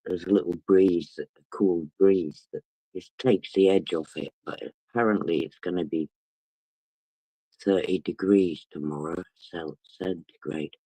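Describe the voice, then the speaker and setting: A man's voice: low voice, fairly even intonation, unhurried, dark tone, slightly rough, no room sound, poor audio. A man from England with a British accent, casually chatting with viewers on a late-night phone stream because he can't sleep. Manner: chatty, greets viewers by name. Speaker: livestream host.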